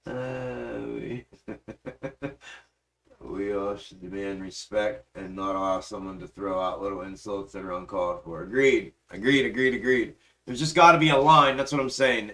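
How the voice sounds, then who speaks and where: A man in mid-range pitch hosting a stock market live stream, talking casually into a desk mic at his computer. He is emphatic and profane, swears freely, and trails off while he works his charts. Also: a bit bright. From grew up in western Pennsylvania, adult life in the South. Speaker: stock market livestream host.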